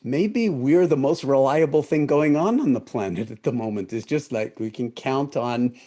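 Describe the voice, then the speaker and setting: A man's voice: mid-range voice, moderate pace, warm tone. An older man from the United States leading an online meditation group on a video call, speaking thoughtfully and correcting himself as he goes. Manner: thoughtful, rambling with self-corrections. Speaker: meditation teacher. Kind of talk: live-streamed talk to an online group.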